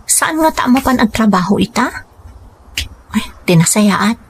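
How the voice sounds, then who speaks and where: A woman's voice: mid-range voice, expressive, unhurried, husky. A woman playing a caring, attentive younger in-law in an Ilocano radio drama, speaking in a coaxing, solicitous way. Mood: puzzled, concerned.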